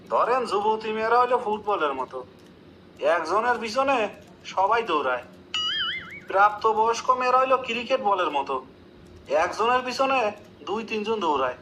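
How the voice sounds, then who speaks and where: A cheeky young-at-heart job candidate, acted by a man for a comic cartoon. The voice sits high and sounds earnest and deferential, confidently serving up literal-minded nonsense. There is faint noise behind it. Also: pushed and bright, exaggerated voice. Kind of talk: comic cartoon skit dialogue.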